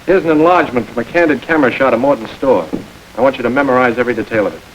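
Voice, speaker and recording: A man playing a calm, commanding gang boss. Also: mid-range voice, racing, slight background noise, close to an everyday voice, poor audio. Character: smooth, calculating middle-aged gang boss. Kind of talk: 1930s crime film acting.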